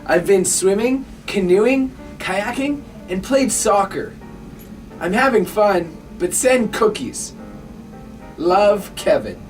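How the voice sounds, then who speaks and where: An adult reading a child's letter out loud. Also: medium pitch, lively intonation, takes it slowly. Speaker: staff member reading aloud. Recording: distant mic with faint music. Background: faint music.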